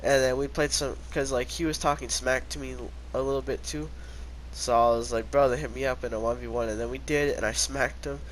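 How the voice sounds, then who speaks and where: A man's voice: mid-range voice, steady tone, fairly quick, slight background noise, rough recording. A teenage boy with a stuffy, congested voice from a cold, casually telling a rambling storytime and quoting himself with lots of "I was like.". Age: teens. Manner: rambling, many "I was like" quotes. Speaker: vlogger.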